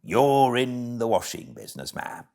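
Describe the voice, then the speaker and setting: A man's voice: mid-range voice, very animated, unhurried, vocal fry, a little mellow, voice pushed up. Man voicing an obliging stout barge woman, lilting. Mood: friendly, polite. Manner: polite, obliging.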